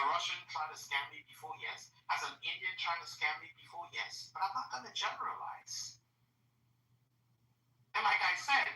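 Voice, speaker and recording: A woman's voice: low-pitched, pushed and bright. A woman in her forties from Nigeria with a Nigerian accent, arguing her point insistently in a heated conversation. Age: 40s. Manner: emphatic, insistent, repeats herself while making a point. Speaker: discussion participant. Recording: roomy echo, distant mic.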